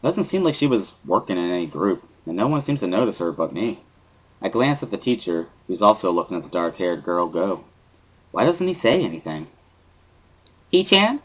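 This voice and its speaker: A man reading a first-person story as a scripted dramatic narration, in an introspective, measured way. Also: lowish voice, breathy edge, low-quality recording.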